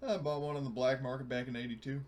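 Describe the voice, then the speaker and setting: A man's voice: mid-range voice, little pitch movement, quick pace. A man playing a tabletop roleplaying game, chatting in a casual, jokey way about his character's moves. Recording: faint background noise.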